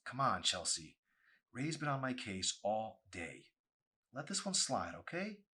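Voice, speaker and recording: A man's voice: mid-range voice, sing-song, unhurried, sharp tone, voice pushed up. A man voicing an awkward, defensive teenage boy in a novel reading, fumbling and hesitant. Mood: pleading, bashful.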